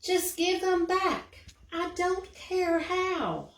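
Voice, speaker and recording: Casting: plucky, stern little girl played by a woman, high and bold, storybook delivery, roomy recording. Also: lively intonation, very slow, slight background noise, exaggerated voice. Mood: angry, demanding. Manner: bold, scolding. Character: brave, stern little girl confronting a book thief. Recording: roomy echo, distant microphone.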